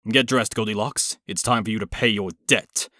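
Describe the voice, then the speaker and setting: A man playing a cold, commanding middle-aged villain in a comic dub, haughty and measured. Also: on the low side, pushed and bright, no room sound, studio quality. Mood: calculating, grim. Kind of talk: dramatic comic dub acting. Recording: music behind the voice.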